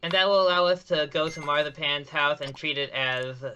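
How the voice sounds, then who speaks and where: A man's voice: fairly high pitch, brisk, bright, forceful tone, muffled recording, slight background noise. A man giving live commentary on a speedrun, explaining each step and often correcting himself mid-sentence. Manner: explanatory, frequent self-corrections and restarts.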